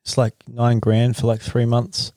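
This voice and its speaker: A man hosting a relaxed, informal interview and chatting with his guest. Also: mid-range voice, unhurried, dry recording. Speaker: interviewer, host. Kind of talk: casual chat.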